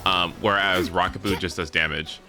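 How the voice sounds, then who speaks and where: A man's voice: low voice, fairly even intonation, normal speed. A man chatting casually on a gaming stream, rambling as he thinks aloud, with some swearing. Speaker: livestreamer. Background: game music.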